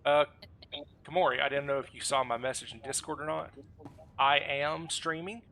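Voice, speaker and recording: A man's voice: on the high side, steady tone, moderate pace, bright, forceful tone. A man streaming a game and organizing his group in casual, self-deprecating voice chat.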